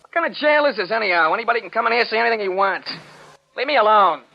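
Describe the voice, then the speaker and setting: Gravelly, defiant young jailbird, old radio. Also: male voice, sits high, very fast. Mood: defiant, angry. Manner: curt, slangy, belligerent. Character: defiant, sneering young male jailed stickup criminal. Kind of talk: 1940s radio drama acting. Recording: dull, noisy old 1940s radio recording.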